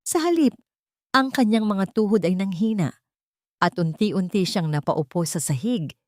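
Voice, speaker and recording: A woman narrating a scripted romance drama in an emotive, drawn-out storytelling manner. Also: medium pitch, expressive, no room sound, clean studio sound.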